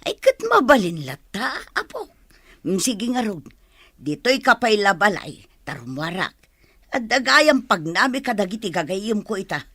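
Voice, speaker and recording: A woman playing a kindly, chatty village grandmother in a radio drama, with an expressive delivery. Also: mid-range voice, a little slow, husky, dry recording, clean studio sound, full character voice. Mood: obliging. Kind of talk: Ilocano radio drama acting.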